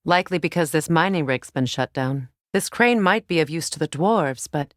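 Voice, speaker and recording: An actress voicing a stern, wise warrior goddess in a video game, speaking with measured, formal diction. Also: female voice, deep voice, lively intonation, dry recording, clean studio sound. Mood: composed, observant. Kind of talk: dramatic video game voice acting.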